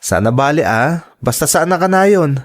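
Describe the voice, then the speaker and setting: A man's voice: fairly high pitch, animated, normal speed, close to an everyday voice. A man playing an affectionate, earnest adult son working abroad, in a dramatic radio-style performance. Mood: tender, reassuring.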